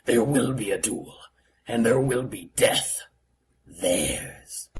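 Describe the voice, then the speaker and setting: A man playing a menacing, arrogant older martial arts master, speaking with pompous, theatrical slowness and a gravelly edge in a fan-made voice comic. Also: medium pitch, lively intonation, a little hiss or hum. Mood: cold menace. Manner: slow, pompous, theatrical threats.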